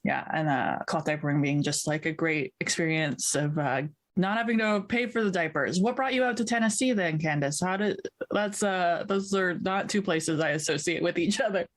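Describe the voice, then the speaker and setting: A woman's voice: lowish voice, moderate pace, few pauses. A woman from Canada hosting a podcast interview, friendly and upbeat, laughing easily. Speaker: podcast host. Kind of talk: interview chat.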